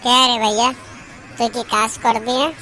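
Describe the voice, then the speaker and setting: A woman's voice: a little high, little pitch movement, normal speed, pushed and bright, slight background noise, rough recording. A woman voicing a caring young sister and dutiful daughter in a cartoon. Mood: pleading. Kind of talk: amateur cartoon voice acting in colloquial Bengali.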